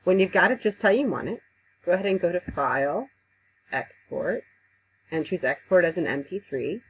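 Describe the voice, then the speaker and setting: A woman giving a casual, conversational software tutorial over a headset mic, with frequent ums. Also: fairly low pitch, animated, normal speed, highs cut off, a little hiss or hum. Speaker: instructor. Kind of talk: screen-recorded software tutorial.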